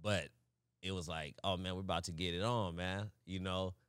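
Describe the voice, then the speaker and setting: A young man from Fresno co-hosting a podcast, telling animated stories and breaking into laughs and shouts at the punchlines. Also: low-pitched, moderate pace, clean tone, no echo, studio quality. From Fresno, California. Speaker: podcast co-host. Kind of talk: casual storytelling chat.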